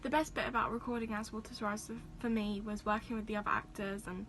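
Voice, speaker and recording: A woman's voice: on the high side, flat delivery, fast talker, fairly bright tone, soft background music. A young woman, reflecting on her youth theatre work in an interview style. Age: teens. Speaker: youth theatre company member.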